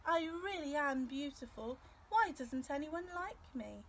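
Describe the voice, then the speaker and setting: A woman's voice: high voice, expressive, unhurried, voice pushed up. A woman voicing a proud, haughty male fish in a children's storybook, with dramatic delivery. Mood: hurt. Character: vain, proud male fish with shimmering scales. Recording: outdoor ambient noise.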